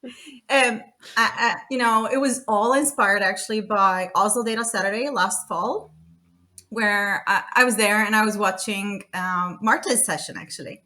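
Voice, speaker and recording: A woman's voice: medium pitch, normal speed, bright, forceful tone. A woman in her thirties from Romania, a guest talking casually on a podcast, chatty and full of fillers and restarts. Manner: chatty, frequent fillers and restarts, laughing often. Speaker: guest, data consultant.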